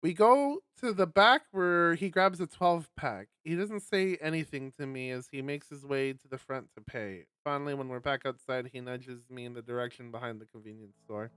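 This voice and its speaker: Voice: medium pitch, moderate pace, hardly any pauses, fairly bright tone, clear, resonant tone, no echo. A streamer reading a visual novel aloud on a live stream, narrating and breaking off for exasperated, sweary commentary.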